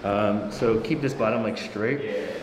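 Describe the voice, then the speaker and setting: A man's voice: fairly low pitch, normal speed. A man working as a chiropractor, casually guiding a patient with short step-by-step directions and reassurance. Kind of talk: casual hands-on patient instruction. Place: indoor treatment room. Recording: echoey room with faint background noise.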